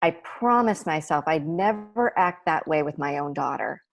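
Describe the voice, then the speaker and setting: A woman's voice: low-pitched, expressive, normal speed, vocal fry, faint music behind. An actress playing a loving, apologetic middle-aged mother in a sitcom table read over a video call.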